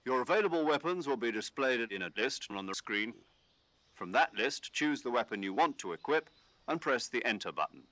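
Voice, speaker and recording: A man voicing a calm commanding officer who gives instructions over the radio in a game. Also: medium pitch, no echo. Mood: steady. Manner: measured, instructive briefing tone. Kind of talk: game dialogue.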